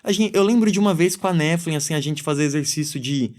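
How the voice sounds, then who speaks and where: A man's voice: fairly high pitch, fast talker. A man in his thirties with a Brazilian accent, a podcast guest chatting casually about his music, rambling with lots of fillers. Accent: Brazilian Portuguese, São Paulo countryside. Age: 30s. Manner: relaxed, rambling, many fillers. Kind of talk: casual chat.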